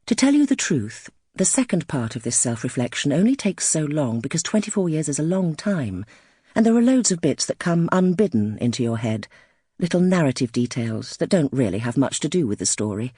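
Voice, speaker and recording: A woman narrating first-person literary fiction as an audiobook, in a wry, expressive storytelling manner. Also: deep voice, fairly quick, slightly rough, dry recording, clean studio sound.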